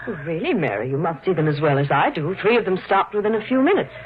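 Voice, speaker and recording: An actress playing a puzzled, insistent young bride in a 1950s radio drama, with polished, questioning speech. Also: female voice, lowish voice, big pitch swings, fast. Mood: puzzled, alarmed. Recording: tinny, hissy 1950s radio recording.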